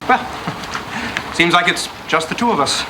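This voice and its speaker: An actor playing a fastidious, nervous gentleman with a precise, measured manner in a television sitcom farce. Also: high voice, animated, background noise.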